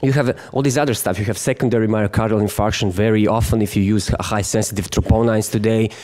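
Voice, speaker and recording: Croatian-accented male physician, conference lecturer, lowish voice, hesitant delivery with many fillers. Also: fairly even intonation, quick pace, darkish tone. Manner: hesitant, many fillers and restarts, jokes with the audience. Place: conference hall.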